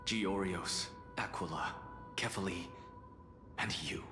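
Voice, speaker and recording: A man acting a game role as an arrogant, defiant male scholar who speaks in a measured, theatrical, haughty way. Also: sits low. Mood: reflective. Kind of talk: dramatic video game voice acting. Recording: echoey reverberant hall, music behind.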